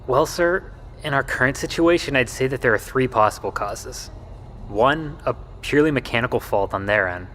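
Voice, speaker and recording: An actor playing a deferential military technician in an audio drama, speaking in a measured, formal and methodical way. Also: male voice, lowish voice, a bit bright, close to an everyday voice. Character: deferential male military technician soldier, grimly analytical. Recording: clear background noise.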